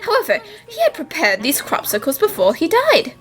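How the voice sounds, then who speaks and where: A woman's voice: high voice, wildly swooping pitch, normal speed, fairly bright tone, exaggerated voice. A woman acting a confident, spirited young female detective in a cartoon fan dub, explaining things politely with self-assurance.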